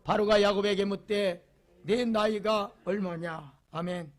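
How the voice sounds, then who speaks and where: A male pastor preaching a Sunday sermon, leading the congregation in reading and repeating rhetorical questions, swinging from loud emphasis to near whispers. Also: high voice, steady tone, a little slow. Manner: leads the congregation in call-and-response reading.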